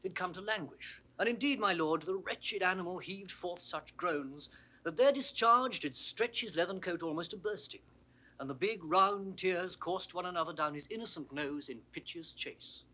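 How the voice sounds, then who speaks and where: An eloquent lord of a duke's court. The voice is fairly high and very bright, spinning florid theatrical verse in classical Shakespearean audio drama manner. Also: male voice, normal speed. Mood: vivid, mock-mournful. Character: courtly lord attending exiled duke, eloquent storyteller.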